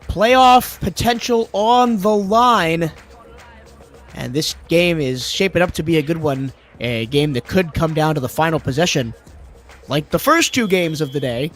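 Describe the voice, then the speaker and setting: A man calling play-by-play for a simulated football game, his voice hoarse and lower after a cold, rising sharply in pitch and volume on big plays. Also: expressive, unhurried, fairly bright tone, faint music behind. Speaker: play-by-play commentator. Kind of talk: sports play-by-play commentary.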